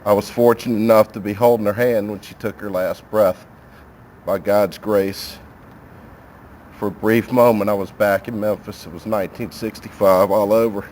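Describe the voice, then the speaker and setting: A man giving a heartfelt, sincere funeral eulogy as a grieving son, speaking haltingly. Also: lowish voice, fairly even intonation, a little mellow. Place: funeral chapel. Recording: noisy live room recording.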